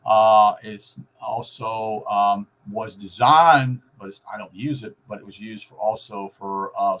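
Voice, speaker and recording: A man giving an unscripted, informal explanation in a halting, rambling way, often searching for words. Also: lowish voice, a bit bright. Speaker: video host explaining shortwave radio. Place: at home.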